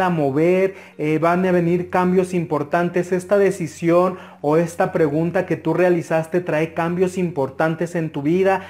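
A man's voice: on the high side, a little mellow. A man with a Mexican Spanish accent giving an interactive tarot reading, advising the viewer earnestly with frequent hesitations. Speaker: tarot reader.

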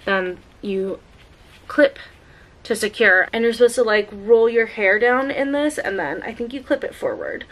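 A woman's voice: a little high, lively intonation, measured pace, rough recording. A woman in her thirties, a vlogger, chatting casually about a clothing haul and her makeup, upbeat and thinking aloud with lots of "like"s, filmed at home on a camera mic. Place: bedroom at home, indoors.

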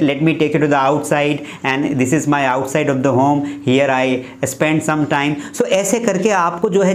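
A man in his twenties with an Indian accent, teaching informally to camera in an instructive, explanatory way. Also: fairly high pitch. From Madhya Pradesh, India. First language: Hindi. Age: 20s. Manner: explanatory, instructive, with example sentences. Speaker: English teacher, host. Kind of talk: informal lesson to camera, mixing Hindi and English. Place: small furnished room at home. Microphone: clip-on lapel microphone. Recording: roomy indoor echo.

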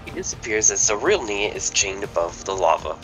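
A man's voice: lowish voice, lively intonation, fairly quick, over music, poor audio. A man narrating stage directions in an amateur table read, plain and matter-of-fact.

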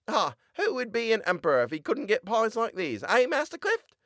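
A man reading aloud and voicing an anxious young male shop boy in a high, boyish tone. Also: wildly swooping pitch, moderate pace, fairly bright tone, no room sound, studio quality, heavily acted. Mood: cheerful.

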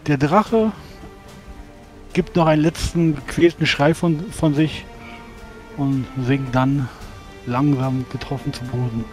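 A man's voice: a little high, fairly even intonation, slow pace, pauses often, soft background music. A man playing a tabletop game, narrating combat descriptively. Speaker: role-playing game player.

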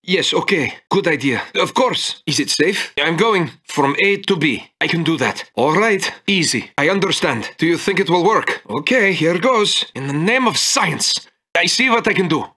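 A jittery Soviet chief scientist for a strategy video game. His male voice sits high and is sharp and bright, leaping around in pitch. He sounds timid and hesitant, yet eager and excitable. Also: moderate pace. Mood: nervous, dutiful. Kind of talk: video game unit voice acting.

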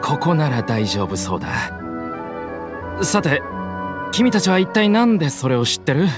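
A jumpy shifty young smuggler. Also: male voice, fairly high pitch, big pitch swings, moderate pace. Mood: nervous. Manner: jumpy, pleading and evasive. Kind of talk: Japanese game dub. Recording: game audio with loud music behind.

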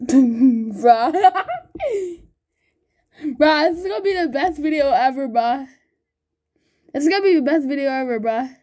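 A woman chatting excitedly while playing an online game with a friend, laughing often and calling everyone bro. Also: high-pitched, measured pace, long pauses. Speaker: gamer recording gameplay. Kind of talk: excited gaming banter with a friend.

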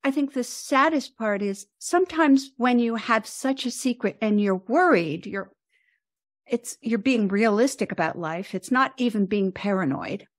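A woman's voice: on the high side, lively intonation, a little slow, no room sound, clean studio sound. Reflective American woman aged seventy. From United States. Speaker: podcast guest, composer and author. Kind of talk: reflective interview talk.

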